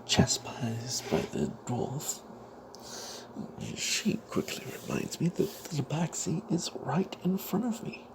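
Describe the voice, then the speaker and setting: A man narrates a fantasy adventure in a slow, breathy whisper with a gravelly edge. He halts often and leaves long pauses in an ASMR storytelling style. Clear background noise sits behind the voice. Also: a little high, wildly swooping pitch. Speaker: narrator of a tabletop fantasy tale.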